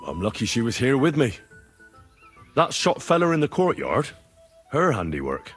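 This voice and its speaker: An actor playing an earnest, polite young knightly adventurer with a husky voice in a video game. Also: male voice, medium pitch, huge pitch swings, moderate pace, fairly bright tone, soft background music. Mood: conversational. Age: young adult. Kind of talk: naturalistic video game cutscene acting.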